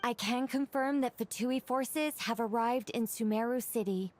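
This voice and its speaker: A high, youthful female scout acted by a woman in a video game. She sounds earnest and dutiful, delivering her words as a report. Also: moderate pace, a little mellow, slight background noise, lightly acted.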